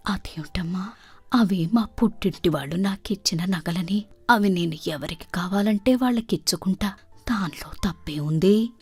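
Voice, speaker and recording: A woman voicing a gentle, trusting elderly village mother in a storybook tale, speaking in a soft, measured, motherly way. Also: lowish voice, husky, no room sound. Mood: hurt, firm.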